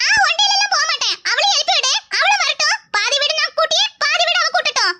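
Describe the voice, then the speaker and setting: A woman's voice: extremely high pitch, animated, fast talker. A woman voicing a cheeky, stubborn young cartoon girl with an insistent, childlike manner and a southern Tamil Nadu accent. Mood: defiant. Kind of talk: cartoon character dialogue. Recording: very loud background noise.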